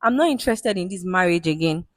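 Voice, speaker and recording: A woman's voice: lowish voice, animated, fast talker, no echo, studio quality, lightly acted. A woman with a Nigerian accent voicing a grieving village husband who pleads and frets. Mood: weary.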